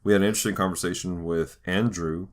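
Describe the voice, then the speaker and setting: A man hosting a conversational podcast, talking through ideas in a thoughtful, halting way with frequent restarts. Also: deep voice, fairly even intonation. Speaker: podcast host. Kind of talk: conversational podcast discussion of faith and pop culture.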